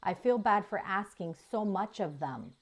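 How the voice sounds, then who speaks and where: A woman voicing a kind, patient female robot in a children's story, measured and sincere. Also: medium pitch, lively intonation. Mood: earnest.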